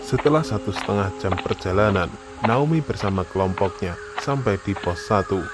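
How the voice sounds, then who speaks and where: A man's voice: fairly low pitch, expressive, normal speed, over music. A man reading a scripted horror story as narrator.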